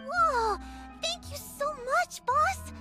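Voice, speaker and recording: Very high, excitable childlike game character. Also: female voice, very slow, exaggerated voice. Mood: excited. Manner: gushing, exclaiming. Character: excitable, squeaky little girl or childlike companion, earnest. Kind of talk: video game voice acting. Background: playful game music.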